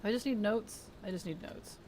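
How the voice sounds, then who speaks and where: Live streamer, mid-pitched, strained and weak-voiced, chatty and soft-spoken, reads chat aloud. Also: moderate pace, slight background noise. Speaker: game streamer. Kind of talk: casual live-stream chat while playing.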